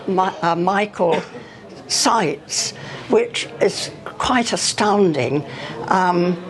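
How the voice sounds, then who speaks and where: Older lady telling stories to an audience, really slow, lots of pauses, a bit hesitant. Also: mid-range voice. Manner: hesitant, pausing often between phrases. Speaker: speaker on earth energies and dowsing. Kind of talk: unscripted spoken recollection to an audience.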